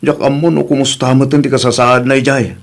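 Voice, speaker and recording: A man's voice: medium pitch, little pitch movement, fairly quick, lightly acted. A man acting a worried, earnest husband and father in an audio drama, with an Ilocano Filipino accent. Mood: anxious, pleading.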